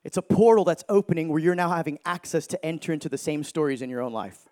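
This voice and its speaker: A man in his thirties preaching a sermon with energy, joking with the crowd and shouting for emphasis. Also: on the high side, expressive, fast talker. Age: 30s.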